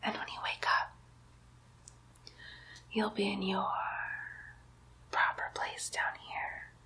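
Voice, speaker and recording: A woman's voice: mid-range voice, monotone, pushed and bright. A woman voicing a mischievous young demon girl posing as a nurse, whispering coaxingly and teasingly in an ASMR roleplay. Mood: soothing, sinister. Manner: slow, coaxing, teasing whisper with playful baby talk.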